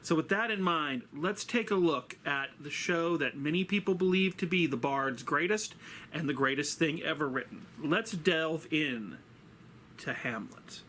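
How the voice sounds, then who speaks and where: Theatrical, higher-voiced man telling stories. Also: unhurried, bright, forceful tone, a little hiss or hum. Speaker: teacher and host of a story series. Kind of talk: scripted literary lesson and storytelling.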